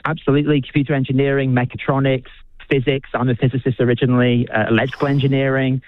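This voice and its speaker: A British physicist being interviewed on the radio, speaking with enthusiasm, halting often and restarting his sentences. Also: mid-range voice, little pitch movement. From United Kingdom. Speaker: physicist and researcher. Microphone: phone. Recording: dry tinny phone line.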